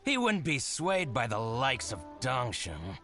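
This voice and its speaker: A petty, gloating male merchant in a video game. His pitch swings wildly from line to line as he sneers at his rival. He sounds scornful and theatrical. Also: wildly swooping pitch, measured pace, fairly bright tone, soft background music. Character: spiteful grudge-holding male merchant. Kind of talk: game voice acting.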